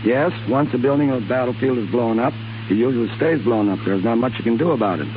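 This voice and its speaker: A man's voice: medium pitch, fast talker. A man as a guest in a scripted 1930s radio interview, reading prepared answers in a matter-of-fact way. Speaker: guest, special effects man. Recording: tinny 1930s radio with faint noise.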